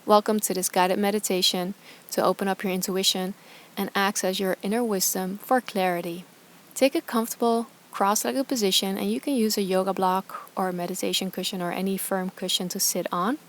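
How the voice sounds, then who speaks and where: A woman guiding a meditation as a yoga teacher, speaking softly and soothingly in short, calm phrases with pauses between them. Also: mid-range voice, a little hiss or hum. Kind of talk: guided meditation instruction.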